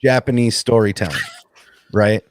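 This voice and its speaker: A man's voice: on the low side, normal speed, no echo, clean studio sound. A man of forty on a podcast panel, talking with slangy enthusiasm. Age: 40s. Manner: enthusiastic, slangy, fan talk. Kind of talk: casual podcast chat.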